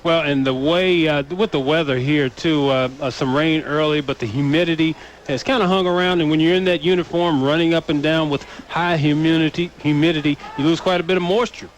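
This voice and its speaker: Higher-pitched American male color commentator, faint noise. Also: fairly even intonation, fairly quick. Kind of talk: live football analysis. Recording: radio broadcast with faint background noise.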